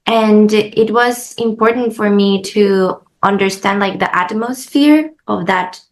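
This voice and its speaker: Thoughtful woman filmmaker, halting speech. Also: medium pitch. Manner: halting, many "um" and "uh" pauses. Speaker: filmmaker guest. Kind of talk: casual interview answers.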